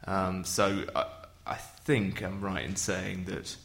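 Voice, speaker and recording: A man chairing a church conference session, speaking in a measured, thoughtful way. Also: low-pitched, fairly even intonation, gravelly, a bit bright. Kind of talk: chairing a discussion.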